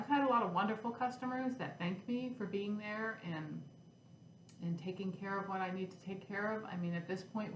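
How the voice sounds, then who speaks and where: A middle-aged woman with a stuffy head cold, chatting casually to her camera as a vlog host and then ranting in frustration. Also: fairly low pitch. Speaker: flosstube host. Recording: echoey room with faint noise.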